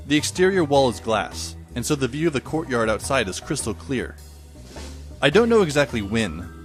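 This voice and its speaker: A man's voice: medium pitch, lightly acted. A man playing a wry teenage schoolboy who narrates an audio drama in a dry, descriptive way.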